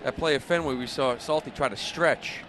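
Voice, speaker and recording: A man's voice: medium pitch, moderate pace, a bit bright. Male baseball color commentator, faint ballpark noise. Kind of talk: conversational live game analysis. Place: broadcast booth at an outdoor ballpark. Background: stadium ambience.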